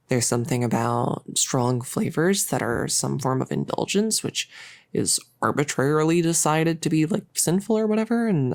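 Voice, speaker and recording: A creaky, dry-recorded voice of a young cultist acted by a woman in an intimate audio roleplay. She is nerdy and playful, rambling in halting bursts. She teases and coddles in a casual, doting way. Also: extremely low pitch, darkish tone, no room sound. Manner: chatty, halting, teasing, coddling.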